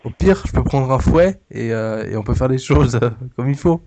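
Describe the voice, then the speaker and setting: A man's voice: mid-range voice, animated, normal speed, mellow, lightly acted. A man playing an anxious, bumbling cat owner on a prank phone call, hesitant and stammering, repeating himself. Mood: earnest. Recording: noisy phone prank recording.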